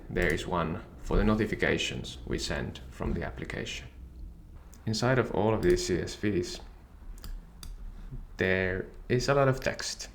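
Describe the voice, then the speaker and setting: A man whose first language is Finnish, calmly and methodically walking through a software tutorial. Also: sits low, creaky, a little hiss or hum. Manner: calm, methodical explaining. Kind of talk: screen-share software tutorial.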